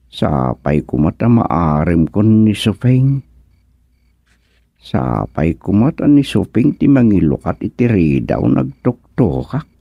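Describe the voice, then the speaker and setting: A man's voice: on the low side, vocal fry, no room sound, highs cut off, exaggerated voice. A man acting a bashful, nervous young suitor in an Ilocano radio comedy, stammering and exclaiming with an Ilocano accent. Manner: stammering, exclaiming, muttering anxiously to himself.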